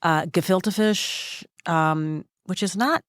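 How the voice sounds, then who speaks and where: A woman in her sixties with a low, smoky voice talks quietly and thoughtfully, taking her time with stories. She sits in a studio, and the sound is close and dry. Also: takes it slowly, clear, resonant tone, no echo. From Minnesota. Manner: soft-spoken, reflective storyteller. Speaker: podcast guest, journalist. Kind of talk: casual conversation. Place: recording studio.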